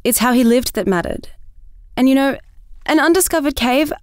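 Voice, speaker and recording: A woman's voice: sits high, lively intonation, moderate pace, clear, resonant tone, no echo, clean studio sound. An actress playing a defiant young woman, an explorer's grieving daughter, in a naturalistic audio drama. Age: young adult.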